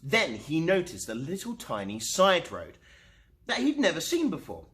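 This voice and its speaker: A man reading a children's storybook aloud as host, expressively and with dramatic pauses. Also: a little high. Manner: animated, unhurried, dramatic pauses.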